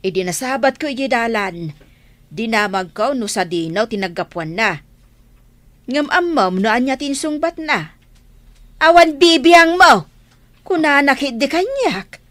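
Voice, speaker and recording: A woman's voice: high-pitched, lively intonation, a little slow, fairly bright tone. A woman acting an insistent, disapproving mother in a radio drama, with a scolding, emphatic manner. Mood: indignant. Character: insistent, disapproving mother pressing her son about love.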